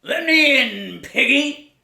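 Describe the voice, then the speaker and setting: A woman voicing a sly big bad wolf who coaxes in a loud, slow drawl. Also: sits high, animated, gravelly, reverberant, voice pushed up, exaggerated voice. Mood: coaxing.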